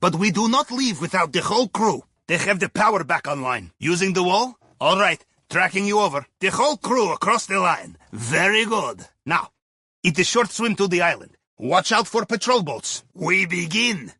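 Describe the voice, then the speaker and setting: An actor voicing a wry, jovial Russian submarine captain who guides heists in a video game, with a Russian accent and a husky voice. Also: male voice, high-pitched, big pitch swings, moderate pace, sharp tone, dry recording, studio quality, exaggerated voice. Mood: focused, brisk. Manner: wry, playful, conspiratorial asides. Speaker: mission guide character in an action video game. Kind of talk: scripted video game mission voice lines.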